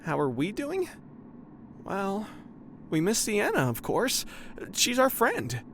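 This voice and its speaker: A woman playing a blunt, excitable, loyal sidekick in a fantasy comedy audio drama. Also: medium pitch, slow pace, background noise, full character voice. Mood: upbeat. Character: feisty, outspoken, literal-minded loyal sidekick.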